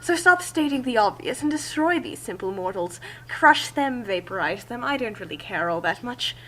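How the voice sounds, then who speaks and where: A woman puts on an omnipotent trickster narrating a comic tale with haughty grandeur. The voice sits fairly high and is theatrical and condescending. Steady noise and a hum run behind it. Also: normal speed, poor audio. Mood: grandly scornful. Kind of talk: dramatic reading of comic fan fiction. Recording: clear background noise and hum.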